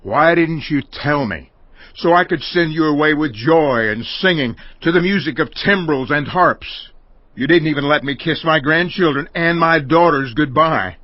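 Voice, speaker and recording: An actor playing a domineering, indignant older father who accuses loudly in a dramatized audiobook, dull dry recording. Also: a little high, normal speed, no room sound, close to an everyday voice. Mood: indignant, accusing. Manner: loud, accusing, declamatory.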